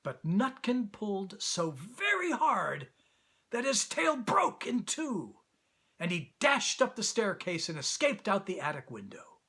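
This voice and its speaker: Animated, high-voiced male storyteller. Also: unhurried, slightly rough. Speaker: actor reading a children's story.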